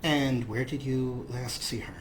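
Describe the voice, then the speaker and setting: A man with a medium-pitched voice hosts a game playthrough. He talks casually and playfully, slipping into in-character replies, with faint noise behind him. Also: fairly even intonation, a little slow. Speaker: Let's Play host.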